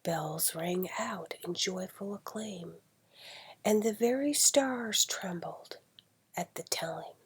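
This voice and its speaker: A woman with a husky, breathy voice reading an old novel aloud in a deliberate, dramatic storytelling manner. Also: fairly low pitch, a little hiss or hum. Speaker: amateur reader.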